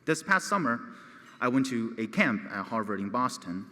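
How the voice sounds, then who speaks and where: A man's voice: medium pitch, very animated, moderate pace, bright, forceful tone. A teenage boy who stutters, giving an earnest prepared speech at a gala. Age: teens. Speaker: gala speaker. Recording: long hall reverb.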